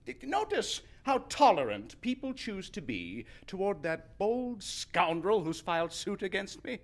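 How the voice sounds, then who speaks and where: A man playing an indignant, gloomy misanthropic gentleman in a stage verse comedy, declaiming emphatically. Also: sits high, sing-song, unhurried, heavily acted. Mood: indignant, bitter. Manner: declamatory, emphatic, crisp rhyming delivery.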